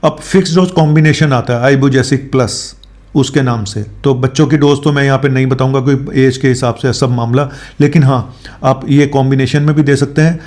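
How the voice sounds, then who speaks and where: Guy, doctor explaining health stuff to viewers, mid pitch, chatty, drops english medical words. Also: fairly even intonation, normal speed, darkish tone. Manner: conversational, mixes in English medical terms, often checks with tag questions. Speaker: doctor hosting a health advice show.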